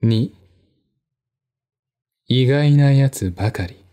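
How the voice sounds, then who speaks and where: A man narrates a mystery novel in a literary, storytelling style. His voice is dark and relaxed, of middling pitch. He takes his time, with a measured and deliberate delivery. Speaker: audiobook narrator.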